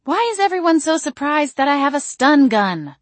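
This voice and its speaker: An exasperated young vampire woman from a storybook, acted by a woman reader. She vents in indignant, emphatic bursts, distraught and sharp-tongued. The sound is close and dry. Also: medium pitch, moderate pace, dry recording, voice pushed up, lightly acted.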